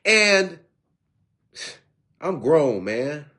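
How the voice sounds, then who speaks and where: A man's voice: on the high side, big pitch swings, extremely slow, bright, forceful tone. A man in his fifties giving a streetwise personal testimony, preachy in tone and full of restarts and repeated words. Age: 50s. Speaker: recovery speaker.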